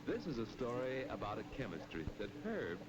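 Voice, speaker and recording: A man's voice: medium pitch, huge pitch swings, brisk. A man narrating in the style of a 1940s educational film, on a noisy old film soundtrack.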